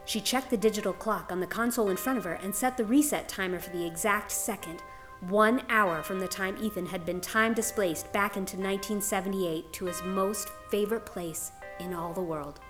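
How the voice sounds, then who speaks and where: A woman's voice: medium pitch, normal speed. A woman narrator reading a scripted story in a dramatic storytelling style. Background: music.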